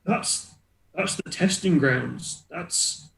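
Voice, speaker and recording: A man's voice: on the high side, drawn-out words, vocal fry, fairly bright tone, echoey room, pitched above the performer's own voice, full character voice, poor audio. Man putting on a flustered, stammering city guard. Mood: hesitant, unsure. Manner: hesitant, stammering, repeating words. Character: flustered, grudging male city guard in a fantasy city.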